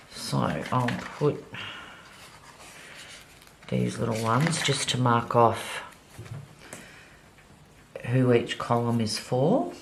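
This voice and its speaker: Slow, slightly nasal woman. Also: a bass voice, gravelly, slight background noise. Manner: unhurried, chatty, many ums. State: slightly nasal, coming down with a cold. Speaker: planner vlogger. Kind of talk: casual plan-with-me narration while decorating.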